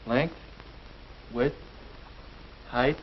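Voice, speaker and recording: A man's voice: mid-range voice, husky. A young American man acting as an earnest teenage boy thinking aloud in a 1950s educational film. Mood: puzzled, focused. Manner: deliberate, self-questioning, earnest. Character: earnest teenage boy working out his future. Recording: dull vintage 1950s film soundtrack.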